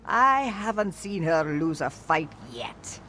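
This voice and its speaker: A woman's voice: lowish voice, expressive, slow, pushed and bright, slight background noise, heavily acted. A woman voicing a loyal, reflective veteran cat-folk sailor in scripted video game dialogue. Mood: fond. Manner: reflective, speaks of self in third person.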